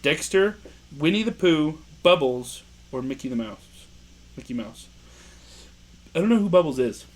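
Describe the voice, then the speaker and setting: A young man with a loud voice, co-hosting a casual podcast, joking, laughing and reading quiz questions aloud. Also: fairly high pitch, expressive, unhurried, pauses often. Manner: joking, playful, laughs often. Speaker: podcast co-host.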